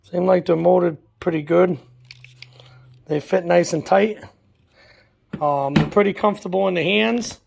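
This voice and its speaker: A man, hoarse from a cold, hosting a casual product review vlog in a relaxed, folksy way full of fillers. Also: high-pitched, measured pace, long pauses, a little hiss or hum. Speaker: channel host.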